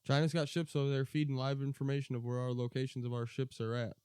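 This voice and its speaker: A man's voice: medium pitch, steady tone, fast, a little mellow, smooth, no room sound, studio quality. An American man co-hosting a podcast, chatting casually about politics in a blunt, profane, opinionated way. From United States. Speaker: podcast co-host.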